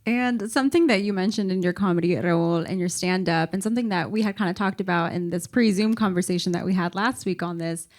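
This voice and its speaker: A woman moderating a comedy panel, asking questions in a measured, conversational way. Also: lowish voice, darkish tone.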